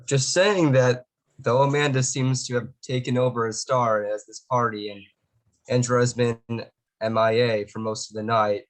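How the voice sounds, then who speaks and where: A man's voice: mid-range voice, fairly even intonation, normal speed, lightly acted, low-quality recording. A man playing a persistent, probing young man in a drama table read.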